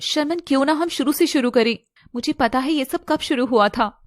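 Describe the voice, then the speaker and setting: A woman's voice: sits high, moderate pace, no room sound, clean studio sound, lightly acted. A woman voicing an outspoken, scolding young female friend in an audio story. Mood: probing, accusing.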